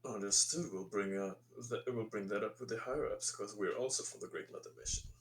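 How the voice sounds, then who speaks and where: Low-voiced male player, faint noise. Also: brisk. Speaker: tabletop game player. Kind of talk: casual in-game talk.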